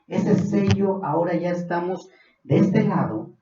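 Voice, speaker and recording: A man's voice: high voice, lively intonation, a little slow, mellow, slight background noise. A man with a Mexican accent preaching a Bible study sermon, earnest and building to fervent emphasis. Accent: Mexican Spanish. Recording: distant, dull, echoey room.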